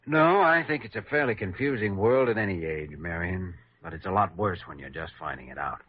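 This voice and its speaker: An American actor playing a patient, quietly firm young hospital doctor in classic radio style, measured and sometimes hesitant. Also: male voice, deep voice, breathy edge. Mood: calm, concerned. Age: young adult. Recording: muffled old radio recording.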